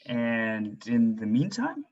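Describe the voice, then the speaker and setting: A man playing a stressed, grateful parent in a staged reading, terse and subdued, with a gravelly, husky voice. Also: medium pitch, wildly swooping pitch, takes it slowly, darkish tone, dull audio, heavily acted. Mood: terse, uneasy. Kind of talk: staged reading over video call.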